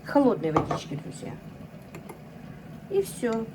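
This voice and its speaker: A woman's voice: fairly high pitch, wildly swooping pitch, measured pace, roomy sound, recorded from a distance, heavy background noise. Chatty middle-aged woman. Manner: chatty, keeps calling the viewers friends. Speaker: cooking vlogger. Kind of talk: casual cooking vlog. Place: home kitchen.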